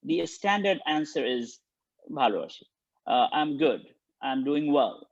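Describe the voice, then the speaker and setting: A man giving a linguistics lecture on a webinar, from India, talking haltingly with many ums and restarts. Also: fairly high pitch, lively intonation, gravelly, no echo. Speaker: guest speaker, linguistics professor. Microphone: video call. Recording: dull lo-fi dry sound.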